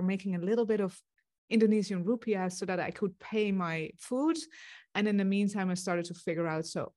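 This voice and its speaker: A woman's voice: lowish voice, a little mellow. Dutch-accented woman, earnest guest. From Netherlands. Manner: earnest, reflective, with frequent fillers and restarts. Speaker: guest, success coach. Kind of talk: conversational interview.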